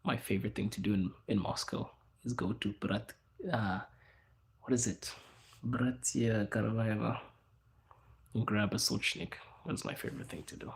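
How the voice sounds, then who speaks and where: A young man hosting a casual livestream, answering chat in a hushed inside voice because people are around him. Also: fairly low pitch, fairly even intonation, moderate pace, vocal fry. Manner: speaking quietly in an inside voice, laid back. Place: out in public in a city, people around.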